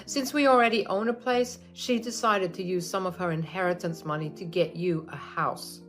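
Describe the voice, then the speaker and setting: A woman's voice: fairly low pitch, normal speed, faint music behind, lightly acted. A woman voicing an angry young husband who speaks firmly and reproachfully with a raised voice. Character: angry young husband defending his wife.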